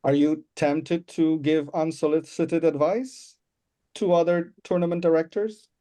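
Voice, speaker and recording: A man's voice: on the high side, fairly even intonation, measured pace, dark tone. A man hosting a chatty podcast interview, putting questions with a playfully formal touch.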